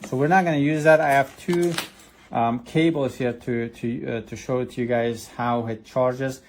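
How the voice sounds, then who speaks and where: A man's voice: medium pitch, fairly even intonation, normal speed, slight background noise. A man reviewing a product in a casual, hands-on way, explaining step by step with some hesitation. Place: indoors at a desk.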